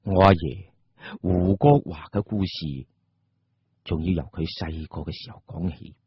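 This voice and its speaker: Male Cantonese storyteller: very raspy, suspenseful dramatic narration, deliberate pauses, dull close dry sound. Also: sits low, no room sound. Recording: muffled, dull audio.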